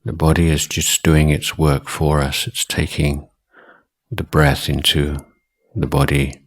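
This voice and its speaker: A man with a husky voice giving guided meditation instruction as a teacher, speaking in short, deliberate phrases with long pauses. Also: extremely low pitch, level tone. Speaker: Buddhist monk, meditation teacher.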